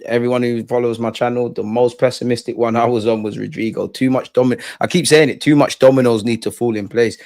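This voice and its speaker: A man's voice: mid-range voice, fairly even intonation, fast, few pauses, a little mellow, no echo. Laid-back man, dry close sound. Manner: laid-back, slangy, upbeat. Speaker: guest commentator. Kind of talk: casual football chat.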